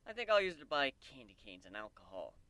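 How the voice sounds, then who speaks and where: A man voicing a gentle, easygoing male pony in a fantasy story, with a dry, understated delivery. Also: medium pitch, sing-song, fast, dry recording. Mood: dry, fond.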